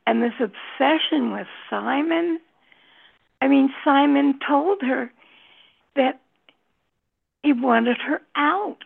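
A woman in a book discussion group speaks slowly and hesitantly, trailing off between thoughts. Her voice is gravelly and a touch husky, and it sits a little high. She comes through a thin, phone-like line. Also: animated. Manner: slow, hesitant, many pauses and fillers. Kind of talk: casual discussion.

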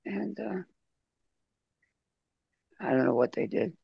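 A man chatting casually in a book club, talking in a halting, rambling way. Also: sits high, animated, gravelly, husky, dry recording, muffled recording. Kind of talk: casual group chat.

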